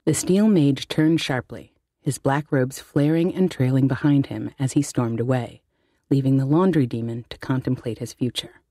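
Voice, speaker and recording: A woman's voice: rumbling low, normal speed, warm tone, breathy edge, dry recording, clean studio sound. A woman narrating a short story in a dramatic audiobook style.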